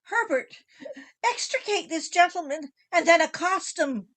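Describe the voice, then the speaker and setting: Woman acting a shrill, haughty old mother. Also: expressive, unhurried, a bit bright, full character voice. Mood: imperious. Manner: haughty, formal, theatrical. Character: imperious, easily vexed old mother, grande dame. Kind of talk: comic old-time radio play acting.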